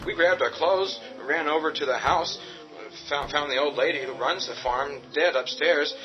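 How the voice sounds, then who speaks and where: A man's voice: on the high side, moderate pace, close to an everyday voice. An actor playing an earnest young man in a radio drama, speaking helpfully but a little hesitantly. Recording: muffled audio with loud music.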